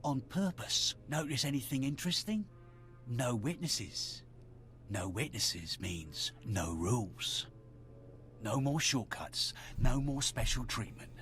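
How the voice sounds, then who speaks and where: Taunting Sith bully, swooping delivery. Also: male voice, mid-range voice, lively intonation, takes it slowly, fairly bright tone, faint music behind. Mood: taunting, menacing. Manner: taunting, threatening. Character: menacing, taunting male Sith academy rival, bullying. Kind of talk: video game character acting.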